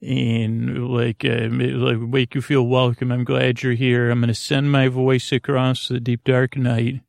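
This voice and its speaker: A man with a creaky voice hosting a bedtime podcast, meandering informally and hesitantly through sidetracks and half-finished words. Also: mid-range voice, little pitch movement, a little slow, a little mellow, no echo, clean studio sound. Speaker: podcast host.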